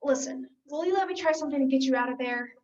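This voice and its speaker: A young woman acts an exasperated, insistent voice of reason in a stage comedy. She is high-pitched and emphatic. The sound is dull and a little away from the microphone. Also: fast, a little mellow, lightly acted. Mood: coaxing. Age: teenager. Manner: emphatic, theatrical. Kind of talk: school one-act comedy stage acting. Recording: dull, distant school play recording.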